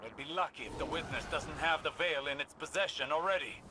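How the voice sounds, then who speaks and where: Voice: medium pitch, brisk, background noise, close to an everyday voice. An actor playing a stern, impatient older scholar-warlock in a video game, speaking in a clipped, grave, formal way. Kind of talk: video game radio dialogue.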